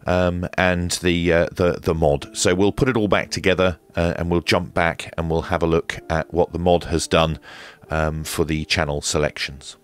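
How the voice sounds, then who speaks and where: A man's voice: low-pitched, little pitch movement, normal speed, clear, resonant tone. A man presenting a casual hands-on radio equipment demonstration, explaining step by step in a methodical, hesitant way.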